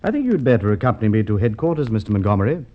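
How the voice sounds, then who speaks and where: An actor playing a brisk, shrewd male police inspector, in vintage radio drama style. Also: fairly low pitch, big pitch swings, muffled recording, heavy background noise. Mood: shrewd, accusing. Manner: commanding, measured questioning. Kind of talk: Golden Age radio drama acting.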